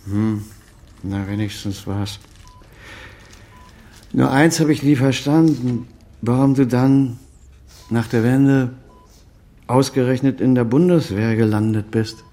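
A man's voice: mid-range voice, slow pace, long pauses, mellow. An actor playing a stern, moralizing older father, reproachful and probing, in a naturalistic radio drama. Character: stern, moralizing elderly father, former chief physician.

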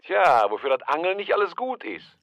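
An actor playing a chatty, teasing working-class early retiree in a radio drama, speaking colloquially over a telephone. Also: male voice, a little high, sing-song, moderate pace, a bit bright, no room sound. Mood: smug, teasing. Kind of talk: German radio drama acting. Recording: dull, dry phone-line sound.

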